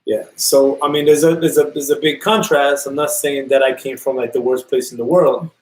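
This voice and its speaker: A man's voice: mid-range voice, steady tone, moderate pace, rough recording. A man from New York co-hosting a casual talk show, often repeating and restarting phrases. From New York City. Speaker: podcast co-host. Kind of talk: casual conversation.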